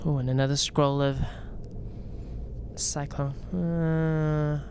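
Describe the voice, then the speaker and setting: A man's voice: a little high, level tone, slow, darkish tone, a little hiss or hum. A young man from Wales with a light, toned-down Welsh accent, chatting casually with viewers while playing a game, rambling and restarting his sentences. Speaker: gaming streamer. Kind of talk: casual gameplay chat.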